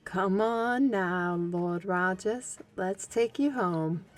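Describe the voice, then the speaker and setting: A woman's voice: mid-range voice, slow, lightly acted. A woman playing an easygoing, reassuring companion in an audio drama, with a kindly, folksy manner.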